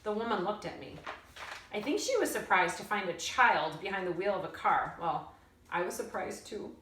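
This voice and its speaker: A woman teacher reading a story aloud in an expressive storytelling style. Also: mid-range voice, moderate pace, a little hiss or hum. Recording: roomy echo, distant mic.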